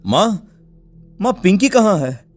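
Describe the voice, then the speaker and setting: Guy doing a worried village dad in a cartoon, high voice, anguished cries, faint music. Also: big pitch swings, slow, exaggerated voice. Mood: alarmed. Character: distraught village father searching for his daughter. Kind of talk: cartoon story acting.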